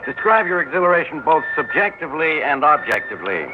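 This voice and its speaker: A calm, clinical psychiatrist questions a patient in a slightly raised voice. The old radio sound is dull and full of hiss. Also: male voice, fairly high pitch, fast talker. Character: calm male military psychiatrist, clinical and probing. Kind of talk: 1960s radio drama acting.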